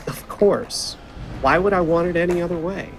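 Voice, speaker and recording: A man's voice: sits high, normal speed, slight background noise. An actor playing an idealistic, opinionated young man in an audio drama, earnest and emphatic. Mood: gentle, earnest.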